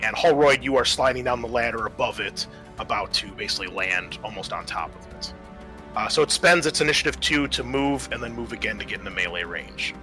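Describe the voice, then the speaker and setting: A man's voice: mid-range voice, expressive, fairly quick. A man narrating a tabletop roleplaying game as game master, improvising descriptions and explaining the rules, with music behind him.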